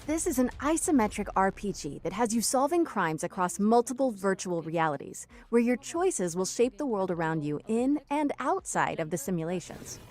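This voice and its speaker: A woman's voice: medium pitch, very animated, normal speed, few pauses, a little mellow. A woman co-hosting a video game showcase with scripted comedy and a wry, teasing manner. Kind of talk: scripted comic presenting.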